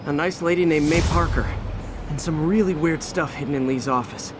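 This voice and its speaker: An actor playing a friendly, earnest young American superhero in a video game, talking in a casual, slightly awkward way. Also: male voice, a little high, normal speed, over music, lightly acted. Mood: earnest, urgent. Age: young adult. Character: earnest, friendly young male superhero juggling everyday life.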